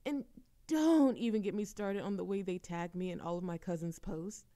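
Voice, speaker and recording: A woman's voice: mid-range voice, normal speed, close to an everyday voice. A woman playing a playful, dramatic young woman chatting teasingly with a best friend in an audio roleplay. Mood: chatty, wry. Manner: animated complaining, teasing, theatrical exasperation. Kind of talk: intimate friend roleplay audio acting, conversational.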